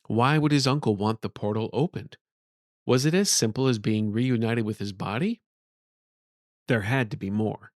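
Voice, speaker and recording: A man's voice: lowish voice, moderate pace, no echo. Male fantasy storyteller, dry close sound. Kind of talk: scripted fantasy audiobook narration.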